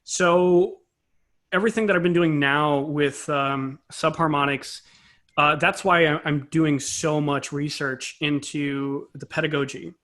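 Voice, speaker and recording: A man's voice: fairly high pitch, steady tone, a little slow, fairly bright tone. A man speaking as an interview guest, a composer and conductor explaining things conversationally in a thoughtful, self-correcting way.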